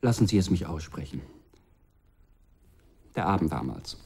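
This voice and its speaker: Casting: courteous, troubled young man for radio play, lowish husky voice, dark tone, hesitant delivery. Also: sing-song, normal speed, exaggerated voice. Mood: hesitant, earnest. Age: young adult. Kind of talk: German radio drama acting.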